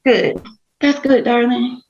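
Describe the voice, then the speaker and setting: A woman's voice: fairly high pitch, steady tone, takes it slowly, a little mellow. A woman playing a flirtatious, needy, melodramatic mother in a screenplay table read. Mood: coy, flirty. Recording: dull lo-fi sound.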